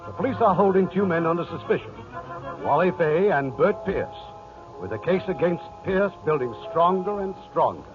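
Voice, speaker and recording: Male vintage radio announcer, dramatic narration, animated, dull broadcast sound with prominent music. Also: fairly high pitch, moderate pace. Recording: muffled old radio, orchestral music behind.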